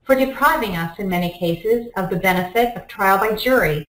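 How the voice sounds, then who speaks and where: A woman's voice: mid-range voice, normal speed, fairly bright tone, distant mic. A woman with a mid-range voice reads a historical document formally. She sounds away from the microphone in an echoey room. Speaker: volunteer reader.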